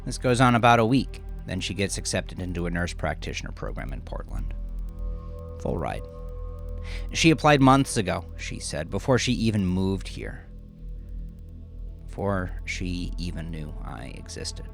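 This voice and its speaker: A man narrating a horror story in the first person, with a brooding, halting, intense confessional delivery. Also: sits low, animated, creaky, faint music behind. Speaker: narrator and author.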